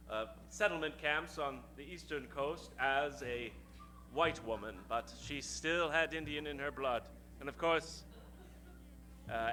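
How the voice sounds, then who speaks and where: A man's voice: a little high, sharp tone. A man hosting and narrating a live comedy in the showy, theatrical style of a 1940s radio announcer. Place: live stage before an audience.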